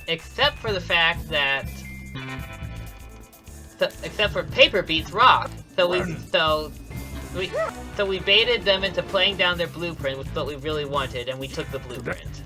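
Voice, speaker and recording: A man commentating his own speedrun live. He explains each step casually, often restarting sentences, with light chuckles. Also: fairly high pitch. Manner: explanatory, frequent restarts and self-corrections. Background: game music.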